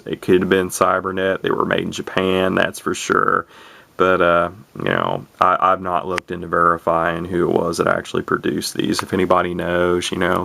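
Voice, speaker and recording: A man's voice: low voice, creaky, sharp tone. A man giving a casual, unscripted product walkthrough as a hobbyist reviewer, rambling a little and repeating himself. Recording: noisy amateur camcorder audio.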